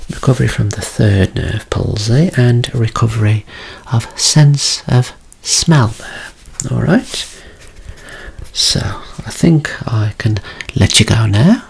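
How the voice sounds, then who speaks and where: A man in his thirties doing a soft-spoken ASMR medical roleplay as a doctor, with a reassuring clinical bedside manner, close up in a small room. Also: lowish voice, gravelly, breathy edge. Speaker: ASMR creator acting as a doctor.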